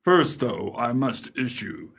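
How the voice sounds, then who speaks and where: A man performs an ominous old-fashioned conjurer for radio drama. He speaks slowly in a creaky, husky voice, solemn and portentous. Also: medium pitch, sing-song, a bit bright, full character voice. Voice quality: gravelly, husky. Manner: slow, portentous. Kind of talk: radio play acting.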